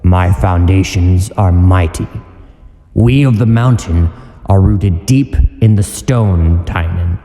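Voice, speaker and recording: A man plays an ancient mountain spirit, steadfast and commanding. The voice is slow, mellow and solemn, echoing through a big hall in a dramatic comic dub. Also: low-pitched, soft background music. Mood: solemn resolve. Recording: echoey hall reverb.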